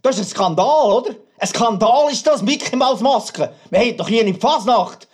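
A man's voice: very high-pitched, expressive, moderate pace, a little mellow. A rich male art collector in a radio play. He is pompous and thoroughly outraged. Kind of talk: radio play interview clip.

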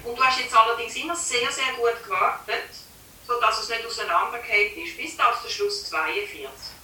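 A woman's voice: a little high, a little fast, bright, forceful tone, a little hiss or hum. A woman giving instruction as a music teacher. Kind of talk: lesson instruction. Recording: echoey room, distant from microphone.

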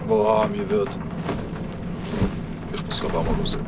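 A man's voice: on the low side, fairly even intonation, takes it slowly, loud music behind the voice. A man playing a queasy, slangy young cabin mate in a radio play. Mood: queasy, anxious.

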